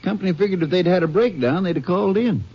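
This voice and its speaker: An actor playing a friendly small-town Texas sheriff in a 1950s radio drama, speaking in a folksy, easygoing way. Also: male voice, sits high, animated, quick pace, husky, exaggerated voice. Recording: dull lo-fi old radio recording with faint hiss.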